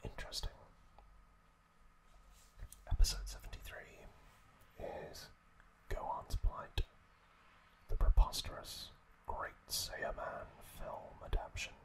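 A man whispering an ASMR recap as host, telling it in short, hesitant phrases with pauses. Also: poor audio.

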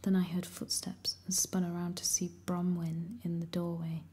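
A woman reading a first-person story aloud in a steady, hushed whisper. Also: on the low side, normal speed. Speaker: audiobook reader.

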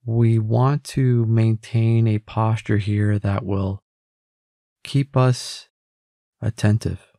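Slow, low-voiced man guiding meditation. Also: gravelly, no echo, clean studio sound. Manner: calm, gentle, unhurried instruction with pauses. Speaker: meditation guide. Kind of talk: guided meditation, scripted narration.

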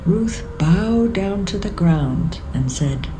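A woman's voice: lowish voice, lively intonation, slow pace, noisy background. A woman narrating a scripted, dramatised Bible story. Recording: music behind the voice.

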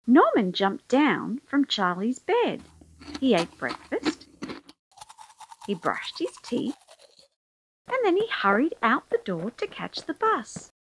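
A woman's voice: on the high side, huge pitch swings, slow, pauses often, slight background noise, rough recording. A woman reading a children's picture book aloud as storyteller, expressively, drawing out key words for emphasis.

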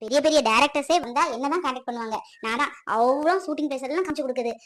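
A woman's voice: high-pitched, very fast, slight background noise, close to an everyday voice. A woman voicing a boastful, chatty male local tour guide who brags about knowing every place in town. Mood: boastful, eager. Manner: boastful, eager salesman patter.

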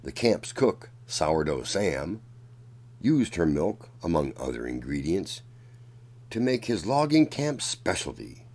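A man reading a children's picture book aloud as a storyteller, in a deliberate, dramatic manner. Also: low-pitched, very animated, darkish tone. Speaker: children's storyteller and channel host.

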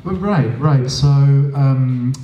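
A man's voice: medium pitch, drawn-out words, muffled recording. A Norwegian man telling stories as an interview guest on a small stage, chatty and drily funny, with many fillers, into a handheld mic. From Norway. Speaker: interview guest, drag performer. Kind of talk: casual storytelling interview. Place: small stage with audience, indoors. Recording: roomy, from a distance.

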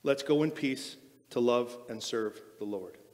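A man's voice: mid-range voice, a little slow, darkish tone. A man giving a church benediction in short phrases, speaking at a lectern in a large sanctuary. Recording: echoey church hall, long reverb.

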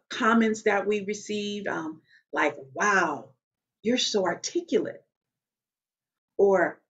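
A woman in her forties with a deep, loud voice, talking straight to the camera in an emphatic, conversational way and often checking in with "okay?". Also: dull audio. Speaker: vlogger. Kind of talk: direct-to-camera talk. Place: small room at home.

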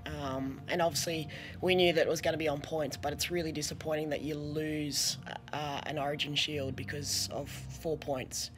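A middle-aged Australian woman coaching a team, answering press questions earnestly with many pauses, her voice going hoarse. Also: low voice, steady tone, music in the background. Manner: earnest, halting with many ums, a little hoarse. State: losing her voice. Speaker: head coach at a press conference. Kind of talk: post-match press conference answers. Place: press conference room with sponsor backdrop. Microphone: desk microphone.

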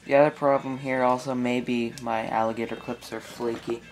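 A man giving a casual hands-on electronics tutorial, speaking haltingly as he thinks aloud while working. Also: medium pitch, level tone, soft background music. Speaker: electronics hobbyist presenter. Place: small indoor room at a workbench.

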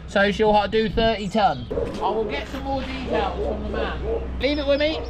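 A man's voice: sits high, normal speed, over a noisy crowd. A man, teasing and bantering casually in a truck yard. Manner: teasing, exclamatory. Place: outdoor truck yard, windy. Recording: loud wind and yard noise.

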